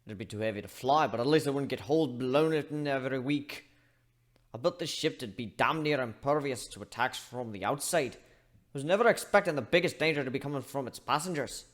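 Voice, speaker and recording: A man voicing a gruff, grumbling pirate shipbuilder with rough colloquial speech, in a dramatic reading. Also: mid-range voice, a little fast, natural voice. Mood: exasperated. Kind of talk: dramatic reading of fan fiction.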